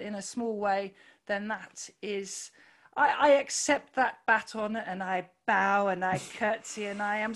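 A woman with a loud voice, talking earnestly in an interview, pausing often with fillers and restarts. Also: mid-range voice. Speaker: podcast guest, running club founder. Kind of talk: reflective conversational interview.

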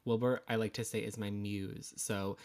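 A man's voice: fairly low pitch, little pitch movement, normal speed, a little mellow. A young man from Connecticut, co-hosting a podcast in bubbly, giggly chat. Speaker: podcast co-host. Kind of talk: casual chat.

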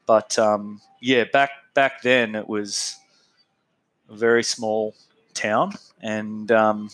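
A man's voice: lowish voice, level tone, takes it slowly, a bit bright. A man with an Australian accent chats loosely as an interview guest. His delivery wanders and is full of fillers, broken up by easy laughter. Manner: laid-back, rambling, laughs often, lots of fillers. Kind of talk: casual interview chat.

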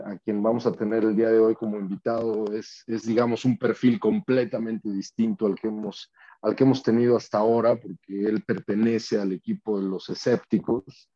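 A Mexican man hosts a relaxed podcast interview, friendly and a little rambling as he circles back over his words. His voice is mellow and soft-edged, and the audio sounds slightly muffled. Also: mid-range voice. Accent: Mexican Spanish. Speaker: podcast host. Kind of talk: casual interview chat.

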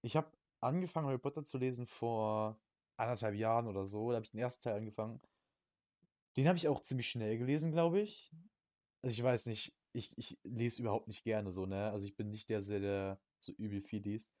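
A man's voice: fairly low pitch, brisk, darkish tone, no echo, clean studio sound. A young man chatting casually as a podcast co-host, rambling in slang and often repeating his words. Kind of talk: casual chat.

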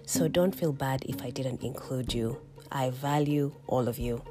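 Very low-voiced Ugandan woman storytelling. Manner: warm reflective storytelling, quick chuckles. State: slightly croaky from a cold. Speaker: podcast host. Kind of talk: personal storytelling podcast.

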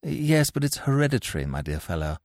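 A flippant young gentleman, acted by a male reader, teasing and affected. His pitch swoops up and down through each phrase, and the sound is close and dry, as in an audiobook of a comic tale. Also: sing-song, fairly quick, no echo.

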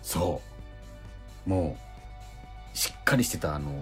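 A man's voice: low-pitched, expressive, slow pace, soft background music. A man co-hosting a radio show, chatting casually and telling a rambling story full of fillers. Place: radio studio.

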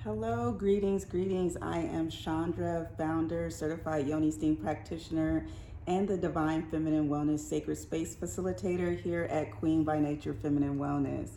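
Low mellow woman guest, calm and explaining stuff, roomy echo. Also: moderate pace. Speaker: wellness practitioner guest. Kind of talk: interview answers. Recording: echoey room with faint noise.

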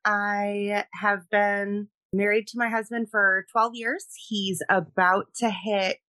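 A woman's voice: medium pitch, flat delivery, slow pace, pushed and bright, no echo. A middle-aged woman from Rhode Island, a military spouse and veteran, chatting as a podcast guest in a friendly way with frequent fillers. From Rhode Island, USA. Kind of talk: conversational podcast interview.

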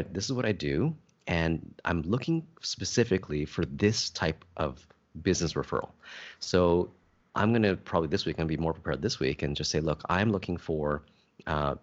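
A man's voice: sits low, dull audio. A man talking casually about his business networking groups, with many fillers and restarts. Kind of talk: casual conversation.

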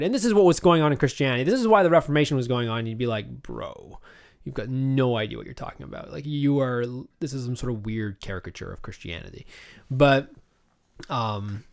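A man talking casually and colloquially about books in an animated way, like a guest on a podcast. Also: medium pitch. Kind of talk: casual book chat.

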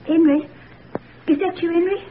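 A woman's voice: very high-pitched, steady tone, normal speed. An actress playing an anxious, devoted young working-class village girl, breathless and pleading. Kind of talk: 1940s radio acting. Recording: tinny old radio broadcast, faint hiss.

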